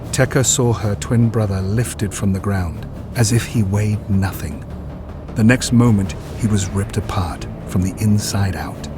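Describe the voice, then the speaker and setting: A man narrating a scripted dramatic story in a measured, solemn way. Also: fairly low pitch. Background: loud music.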